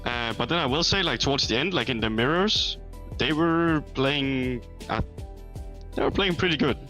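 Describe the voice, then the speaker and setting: A man answering a post-match interview as a pro player, halting and candid with many fillers. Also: medium pitch, bright, forceful tone, soft background music.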